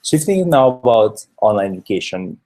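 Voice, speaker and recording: A man's voice: fairly low pitch, big pitch swings, a little fast, warm tone. A man in his thirties from Trinidad giving an earnest, explanatory conference presentation with occasional fillers. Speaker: researcher presenting a talk.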